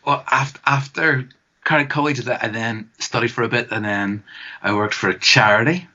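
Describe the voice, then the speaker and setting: A man's voice: medium pitch, steady tone, moderate pace, sharp tone, lo-fi sound. A man in his twenties with a Northern Irish accent, chatting easily as a guest and laughing often. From Northern Ireland. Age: 20s. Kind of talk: casual interview chat.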